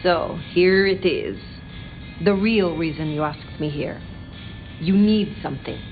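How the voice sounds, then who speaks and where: An elder vampire sheriff from a video game, formal and direct. Her voice sits a little low, with deliberate, archaic phrasing and unhurried authority. The audio is a touch dull, with faint music beneath. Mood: stern. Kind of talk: video game dramatic dialogue.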